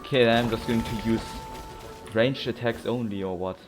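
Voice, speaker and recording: A man's voice: mid-range voice, normal speed. A young man giving casual, rambling, slangy commentary while playing a video game. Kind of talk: casual let's play commentary. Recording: game music clearly behind. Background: video game music.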